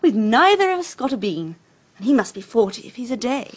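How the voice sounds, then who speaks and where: High-voiced, flippant young English socialite. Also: female voice, sing-song, fairly quick, slightly rough. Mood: gossipy, offhand. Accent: upper-class English. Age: young adult. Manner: airy, drawling, dismissive. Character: flippant, breezy young upper-class English society woman. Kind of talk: radio drama acting.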